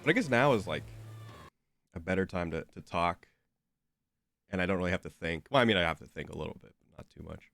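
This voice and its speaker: Low-voiced congested young man chatting. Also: lively intonation, fast talker, vocal fry, pauses often, a bit bright, no room sound, soft background music. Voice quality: nasal. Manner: rambling, self-interrupting, lots of fillers. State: congested, slightly nasal. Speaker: streamer. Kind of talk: casual chat while gaming.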